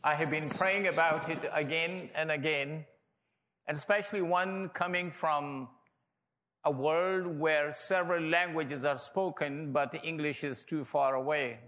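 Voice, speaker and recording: Pashto-accented male preacher, very slow, reflective sermon in a reverberant hall. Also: a little high, steady tone, a bit bright. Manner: reflective, unhurried storytelling. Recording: reverberant hall, distant mic.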